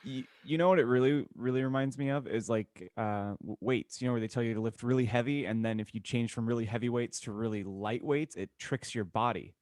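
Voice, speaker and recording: A man co-hosting a comedy podcast with casual banter in a halting, rambling way. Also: mid-range voice, a little mellow, no echo. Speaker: podcast co-host.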